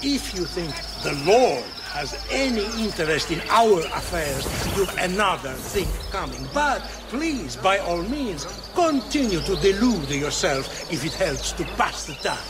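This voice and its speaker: An actor playing a cynical, godless conspirator in priest's robes in a video game, with a scornful, argumentative manner. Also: male voice, high voice, big pitch swings, unhurried, hardly any pauses, background noise. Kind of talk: video game cutscene acting.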